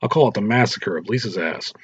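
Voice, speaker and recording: Man voicing a crude wisecracking teenage boy, husky. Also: fairly low pitch, fast talker. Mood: crude joking. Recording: roomy echo, dull lo-fi sound.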